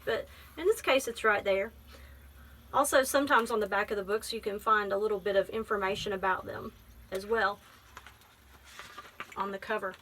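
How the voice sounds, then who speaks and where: A woman's voice: on the high side, a bit bright. A woman library teacher reading a picture book aloud, explaining things simply and prompting with questions.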